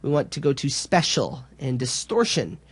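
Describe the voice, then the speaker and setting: A man gives a casual tutorial in a medium-pitched voice. He explains each step informally and a little hesitantly. The recording is dry, with very little room sound. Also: wildly swooping pitch, moderate pace, slightly rough, dry recording. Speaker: tutorial presenter.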